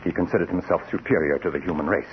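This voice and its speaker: An actor playing a confident, persuasive, ambitious scientist with an emphatic, authoritative manner, in 1940s radio style. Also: male voice, low voice, very fast, lightly acted. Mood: assured, persuasive. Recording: dull old radio recording with hiss.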